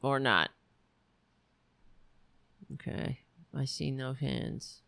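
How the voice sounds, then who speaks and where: A woman hosting a political livestream with a worn, raspy voice, talking bluntly and sarcastically while reading the chat. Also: a bass voice, animated, drawn-out words, a bit bright, no echo. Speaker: livestream show host.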